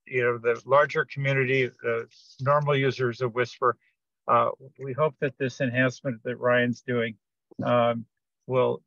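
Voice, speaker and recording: A man giving a technical explanation on a group teleconference, speaking haltingly and often repeating words as he goes. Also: mid-range voice, a bit bright, no room sound. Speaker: project participant giving an update. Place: remote teleconference. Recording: dull, dry lo-fi conference-call audio.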